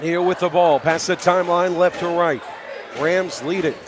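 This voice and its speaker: A man's voice: sits high, fairly even intonation, moderate pace. A man doing live radio play-by-play of a basketball game, backing the home team and exclaiming on big plays. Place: high school basketball gym. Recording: loud crowd and bouncing balls in gym. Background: loud crowd noise, basketball bounces.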